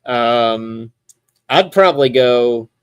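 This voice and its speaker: A man hosts a relaxed, conversational sports podcast. His voice sits at a middling pitch. He rambles and thinks out loud, and the sound is dry with little room in it. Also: extremely slow, clean tone, no echo. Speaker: podcast host. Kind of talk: casual sports chat.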